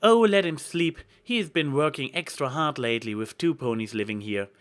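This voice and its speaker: A man voicing a gentle, caring, organised female unicorn in a story reading. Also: medium pitch, lively intonation, moderate pace, close to an everyday voice. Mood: calm.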